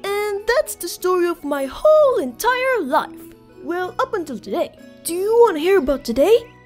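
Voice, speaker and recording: Woman doing a squeaky, cheeky baby dragon boy. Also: very animated, moderate pace, faint music behind. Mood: eager. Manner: pert, casual, childlike. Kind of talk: cartoon fandub acting.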